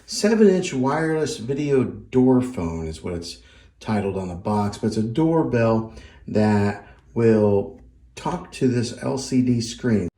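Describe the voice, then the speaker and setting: A man's voice: mid-range voice, animated, slow, dark tone, slight background noise. A man presenting a casual hands-on tech review, explaining things step by step in a plain-spoken, conversational way. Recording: echoey, roomy indoor sound.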